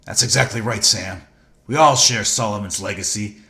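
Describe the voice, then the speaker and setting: A man's voice: lowish voice, normal speed, gravelly, pushed and bright. An actor playing a stern, proud man in a video game, speaking in a pointed, reproachful manner.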